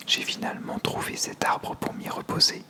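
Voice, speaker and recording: Gentle little songbird in a whisper by a storyteller. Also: normal speed, natural voice. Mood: apologetic, pleading. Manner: whispered, polite, pleading. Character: small polite songbird, gentle, cheerful yet lonely.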